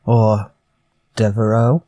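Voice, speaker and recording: An actor playing a suave, sinister murderer with a mock-polite, amused drawl in a retro radio drama. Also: male voice, fairly low pitch, level tone, takes it slowly, dark tone, no echo. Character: suave, teasing, sinister male murderer intruder.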